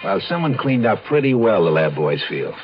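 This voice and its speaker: An actor playing a matter-of-fact, casual homicide detective in a 1970s radio drama. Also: male voice, on the low side, big pitch swings, moderate pace, soft background music. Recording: dull old radio recording.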